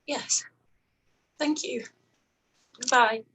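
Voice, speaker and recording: A woman acting a distressed, proud young gentlewoman in a period radio play, with emotional, halting delivery. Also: sits high, steady tone, slightly rough, exaggerated voice. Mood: subdued, resigned.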